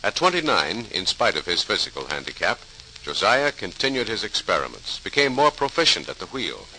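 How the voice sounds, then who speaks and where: An actor as an assured, emphatic storytelling narrator in a 1940s radio drama, on a noisy vintage recording. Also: male voice, lowish voice, sing-song, fairly quick, sharp tone, exaggerated voice.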